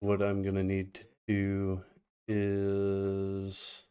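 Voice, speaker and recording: Slow-talking, low-voiced man livestreaming a game. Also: completely flat delivery, dark tone, clean tone, highs cut off. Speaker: speedrunner on a livestream. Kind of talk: casual live game commentary.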